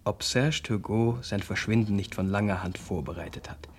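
An actor playing a wary, measured man in a radio crime drama, speaking formally and deliberately. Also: fairly low pitch, a little hiss or hum, lightly acted. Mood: pondering, grave. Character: wary, measured man investigating a shady death.

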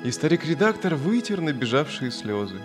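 A man narrating a children's audio story in an expressive, scripted storytelling style. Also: fairly high pitch, moderate pace, over music.

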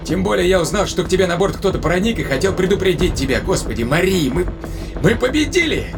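A man playing an excitable, anxious spaceship captain in a science-fiction audio drama, talking in an emphatic, colloquial way. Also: high-pitched, quick pace, vocal fry, music in the background, voice pushed up, exaggerated voice. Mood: excited, relieved.

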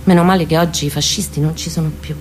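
A woman with a lowish voice and a Roman accent delivers an autobiographical monologue. Her phrasing is deliberate, expressive and intimate, with faint background noise around her. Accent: central Italian, Roman. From near Rome, Italy. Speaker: monologue performer. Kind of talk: dramatic autobiographical storytelling monologue.

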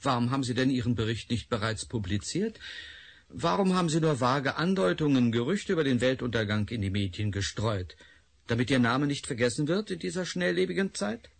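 A man's voice: medium pitch, animated, brisk, dry recording. An actor playing a cold, sardonic male professor in charge, mocking and superior, in a radio drama. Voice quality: gravelly. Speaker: Professor Bergson.

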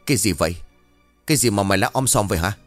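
A man's voice: on the low side, animated, racing, no room sound, faint music behind, close to an everyday voice. A man voicing a hot-headed, vengeful grieving son in a horror story, snarling accusations in a Southern Vietnamese accent. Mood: angry, demanding. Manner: snarling, shouting accusations through clenched teeth.

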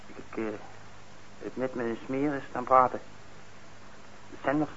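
A man's voice: mid-range voice, fairly even intonation, slow pace, a bit bright. An actor in a Dutch radio play playing a troubled young man on probation, blunt and hesitant, resentful yet eager. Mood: defensive, bitter. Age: young adult. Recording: muffled, noisy old radio recording.

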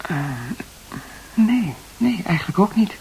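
Casting: shy young woman, slow and hesitant, creaky voice, radio drama, faint hiss. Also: mid-range voice, expressive, darkish tone, rough recording. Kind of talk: radio play dialogue.

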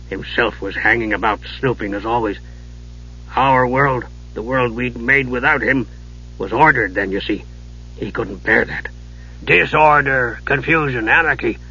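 Audiobook: a man as a weary old dwarf, gruff and hushed, a touch husky, muffled sound. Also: on the low side, voice pushed down, exaggerated voice.

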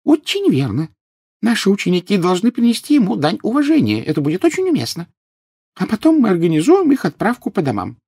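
A squeaky little wizard professor, played by a male narrator. He speaks far up in pitch with earnest, swooping delivery, as a storybook character. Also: wildly swooping pitch, brisk, gravelly, darkish tone, breathy edge, no echo, clean studio sound, heavily acted. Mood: earnest, solemn.

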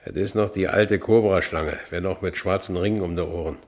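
A man's voice: low-pitched, steady tone, a little fast. A man playing a battered, streetwise ex-convict telling his own story colloquially in a radio drama. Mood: grim, weary. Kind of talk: first-person radio drama narration.

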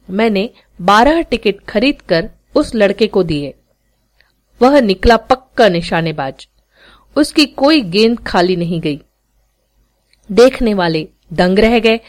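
A woman reading a short story aloud as a podcast narrator, in an expressive scripted storytelling style. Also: mid-range voice.

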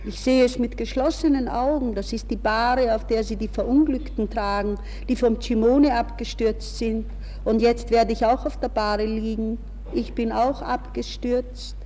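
An actress playing a distraught young woman in a hushed, dreamy, intense inner monologue in a 1960s radio play. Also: a little high, steady tone, unhurried, lightly acted. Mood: dreamy dread. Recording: reverberant 1960s radio recording with hiss.

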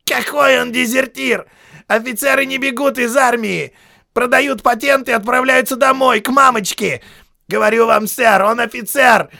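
A man voicing a foul-mouthed, groveling army sergeant who screams and pleads in a shrill, hoarse voice, in an audiobook. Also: fairly even intonation, normal speed, sharp tone, heavily acted. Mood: insistent. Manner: screaming, pleading, spitting insults.

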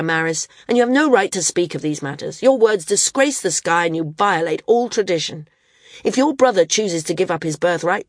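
A woman's voice: fairly low pitch, dry recording, clean studio sound. A woman voicing a stern, rigid older man who insists on tradition. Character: stern rigid older male senior flyer.

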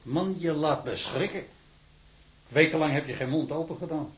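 A man's voice: fairly high pitch, moderate pace, close to an everyday voice. An actor playing a plain-spoken, fatherly prison warder in a Dutch audio drama, homely, patient and encouraging. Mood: kindly, encouraging.

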